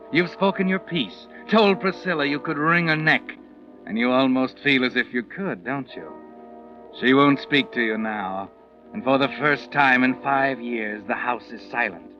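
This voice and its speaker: A man's voice: medium pitch, close to an everyday voice. A man playing a knowing, taunting storyteller, narrating a 1940s radio mystery with insinuating drama. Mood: brooding, knowing. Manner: insinuating, dramatic second-person address. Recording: muffled vintage 1940s radio recording. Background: dramatic musical score.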